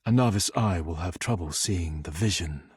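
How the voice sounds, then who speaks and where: A man's voice: sits low, normal speed, slightly rough. A man voicing a proud, devoted potter in a video game, speaking formally and precisely with earnest, somewhat haughty diction. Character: proud, formal, devoted male potter, an animate dishware character. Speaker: voice actor. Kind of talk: video game character dialogue.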